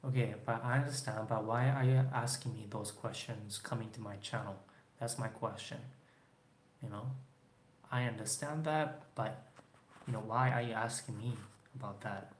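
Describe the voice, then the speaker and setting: A man's voice: lowish voice, moderate pace. A man in his twenties whose first language is Japanese, chatting casually on a live stream with lots of "you know" fillers, filmed by a webcam in a small room. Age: 20s. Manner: rambling, frequent "you know" fillers, chin in hand. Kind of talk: casual live chat answering viewers. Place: small room with dark backdrop cloth, indoors. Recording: roomy echo, faint background hiss.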